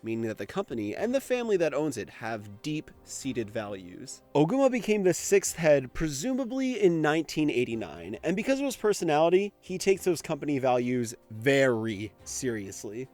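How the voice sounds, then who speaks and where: Man narrating a lively, casual video essay. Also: on the high side, moderate pace, few pauses, faint music behind. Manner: informal, conversational, occasional swearing. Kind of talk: casual scripted commentary on an anime.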